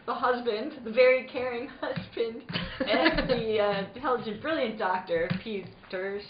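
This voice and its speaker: A woman's voice: fairly high pitch, a little slow, background noise. A woman hosting with a jokey, theatrical manner, mixing playful introductions and mock radio narration. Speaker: host and announcer. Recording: roomy, muffled, people in background.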